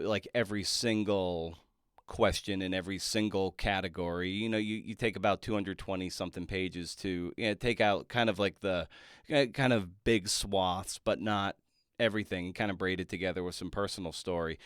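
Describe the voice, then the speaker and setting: Male podcast host. Deep, clean voice, dry close sound, thoughtful and halting conversational delivery. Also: clear, resonant tone, dry recording. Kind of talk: conversational interview.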